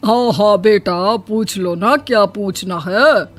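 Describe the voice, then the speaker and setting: A woman's voice: medium pitch, slow, faint music behind. A woman voicing a proud, easily offended middle-aged mother-in-law in a cartoon, with a scolding manner. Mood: placating. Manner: indignant, scolding.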